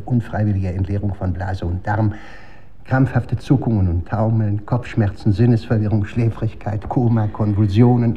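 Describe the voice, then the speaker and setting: Actor as coolly morbid weapons expert, dull noisy recording. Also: male voice, fairly low pitch, lightly acted. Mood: relishing recital. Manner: lecturing, savours technical terms, cynical. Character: man coolly relishing chemical weapons, pedantic morbid expert. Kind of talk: German radio drama acting.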